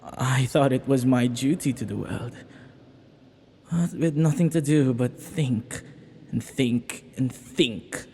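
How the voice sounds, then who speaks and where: A man's voice: medium pitch, a little mellow, a little hiss or hum. A man playing an ancient banished villain, a magical being who is flirty, menacing and wistful, in a theatrical, musing audio roleplay monologue. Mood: rueful, wistful. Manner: theatrical, musing, unhurried with dramatic pauses. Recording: long echoing reverb.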